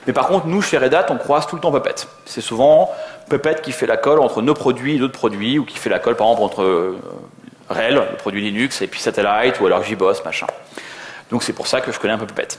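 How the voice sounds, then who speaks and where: Fast-talking man in an echoey room. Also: medium pitch, slight background noise. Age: middle-aged. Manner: joking, self-deprecating, colloquial. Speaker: conference speaker. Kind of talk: informal technical conference talk. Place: indoor conference venue.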